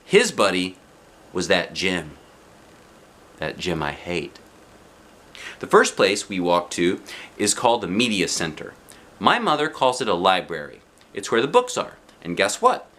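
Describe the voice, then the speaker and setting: A man reading a children's storybook aloud in an expressive narrating style with short, simple phrases. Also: low voice, a little slow, long pauses. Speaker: storybook reader.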